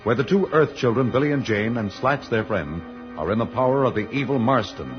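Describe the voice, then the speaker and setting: A man announces an old radio space serial. His mid-pitched voice is dramatic and grandiose. The recording is dull, with loud music behind it. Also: animated, brisk. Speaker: announcer and narrator.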